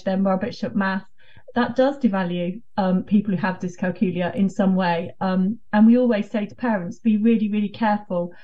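A woman's voice: mid-range voice, dark tone. A woman with a British accent explaining things as a guest in a radio interview. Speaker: interview guest, dyscalculia network co-founder. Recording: dull, slightly distant remote link.

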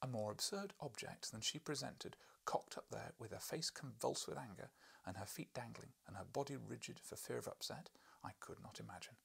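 A man's voice: mid-range voice, animated, normal speed, vocal fry, a bit bright, husky. A man in his thirties reading literary narration as an audiobook narrator. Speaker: audiobook reader. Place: furnished book-lined room, indoors.

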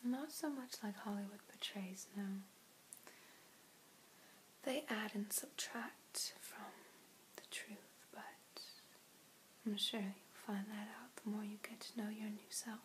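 A young woman in her twenties playing an ancient, protective vampire mentor in a whispered, soothing ASMR roleplay, with breathy, hushed delivery and long pauses. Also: mid-range voice, fairly even intonation, a little hiss or hum, close to an everyday voice, low-quality recording. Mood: reassuring, protective. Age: 20s. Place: small indoor room.

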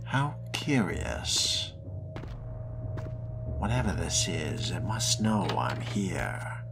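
A wry young vampire voiced by a man roleplaying a game, deep in pitch and slow in pace. He muses aloud with dry, theatrical curiosity. Music plays clearly behind him. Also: very animated, full character voice. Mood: curious, wary. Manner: musing aloud, dry, theatrical, deliberate phrasing. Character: wry, curious male vampire fledgling on the hunt. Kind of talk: in-character video game roleplay narration.

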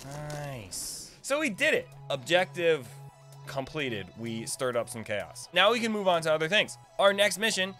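A man's voice: fairly high pitch, very animated, moderate pace, bright, forceful tone, faint music behind. A man in his thirties giving casual, playful gameplay commentary, talking to himself into a close desk microphone. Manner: playful, talks to himself and the game. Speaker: video game streamer. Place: small indoor room at a desk. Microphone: boom-arm desk microphone, close.

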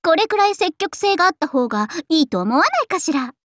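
High-pitched, vain princess idol. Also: female voice, very animated, fast talker, a bit bright, no room sound, studio quality, full character voice. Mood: playful, musing. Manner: haughty, theatrical, playful, self-admiring. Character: vain, bubbly young princess idol singer, self-styled Cinderella. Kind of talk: Japanese anime mobile game voice acting.

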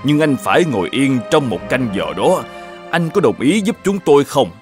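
A man voicing a mysterious old Taoist sage in an animated fairy tale, courteous and formal in manner. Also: medium pitch, normal speed, close to an everyday voice. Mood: solemn. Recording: music behind the voice.

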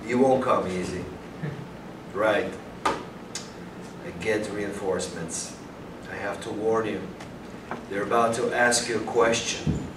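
A man's voice: on the low side, fairly even intonation, slow, pauses often. A man acting an earnest, anxious young police detective in a staged play reading. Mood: urgent. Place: small hall, staged reading before an audience. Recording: distant, echoey room with background hiss.

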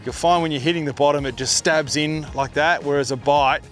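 A man's voice: on the high side, fairly even intonation, brisk, a bit bright, faint music behind. A middle-aged man with an Australian accent giving casual, matey instructional fishing commentary outdoors on a boat. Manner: informal, matey, explanatory. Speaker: fishing show host. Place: outdoors on a small boat on open water.

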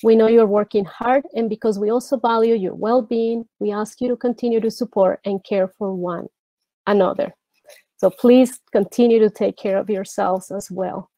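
A middle-aged woman chairing a school board meeting from home over a video call, speaking earnestly with halting restarts. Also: mid-range voice, fairly even intonation, mellow, no echo, poor audio. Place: home room with brick wall. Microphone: earbud headset on video call.

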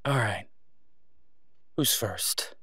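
A man plays a brash masked vigilante in an audio drama. His voice is fairly low and sarcastic, full of dry taunts, with a close, dry recording. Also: drawn-out words, sharp tone, husky, no echo, exaggerated voice. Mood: wry, defiant.

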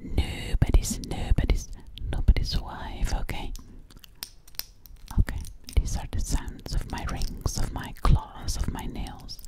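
A woman's voice: slow pace, natural voice. A woman playing a sweet, slightly sassy alien girl in an ASMR roleplay, whispering breathily close to the microphone and repeating her words soothingly. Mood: sweet, pleading. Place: dim living room indoors. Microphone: close-up at a large ASMR microphone.

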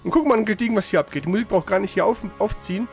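A man's voice: high voice, very fast. A man gives casual live gaming commentary. He talks in loose slang with plenty of swearing, over faint game music. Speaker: gaming streamer playing and chatting.

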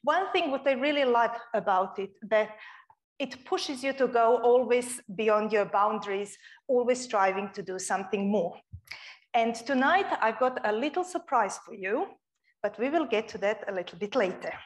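A young woman from Croatia giving an earnest, expressive public lecture as a guest speaker in a lecture room. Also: fairly high pitch, moderate pace.

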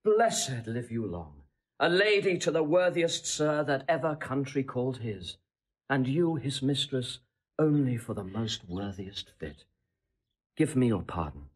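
A scheming Roman seducer in classical audio drama. His voice is slightly husky and mid-pitched, with theatrical, declamatory delivery. He insinuates and flatters in ornate courtly phrases. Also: a little slow. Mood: effusive praise.

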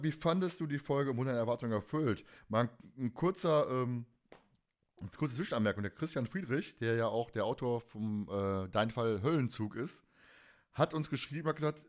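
A man hosting a casual fan podcast, colloquial and teasing, with plenty of opinions. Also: mid-range voice. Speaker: podcast host.

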